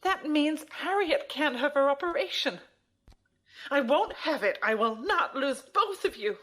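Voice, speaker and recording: A woman's voice: sits high, expressive, measured pace, a bit bright. A woman playing a sentimental, demanding widowed mother of a grown son in a sci-fi audio drama, emotive and dramatic. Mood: indignant, pleading.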